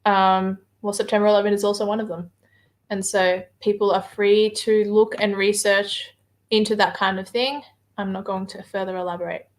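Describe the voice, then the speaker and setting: A woman's voice: medium pitch, little pitch movement, moderate pace. A woman with an Australian accent talks casually on a livestream, hesitating often with filler words as she answers viewer comments. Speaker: livestream guest reading viewer chat.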